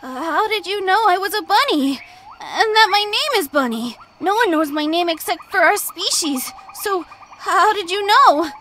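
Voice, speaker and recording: A woman voicing a small, clever male bunny for a children's animated story, earnest, friendly and persuasive. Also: extremely high pitch, moderate pace, a little hiss or hum, pitched above the performer's own voice, full character voice. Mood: timid, puzzled.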